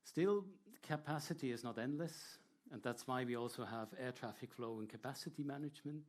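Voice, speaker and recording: A man presenting a technical talk to an audience in a measured, explanatory way, with short hesitant pauses. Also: mid-range voice. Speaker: air traffic control presenter.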